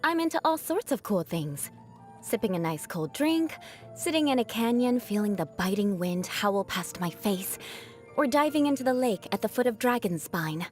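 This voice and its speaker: A woman's voice: medium pitch, animated, moderate pace, soft background music, close to an everyday voice. A young woman voicing a proud, haughty noblewoman knight in a video game, an outcast bent on revenge, with formal, dramatic diction. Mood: teasing, menacing. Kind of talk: video game character voice lines.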